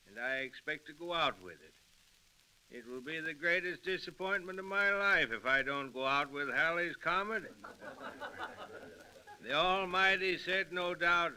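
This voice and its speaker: An actor playing a wry, resigned old humorist giving a talk in an old-time radio drama. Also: male voice, a little high, pushed and bright, clear, resonant tone, poor audio. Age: older.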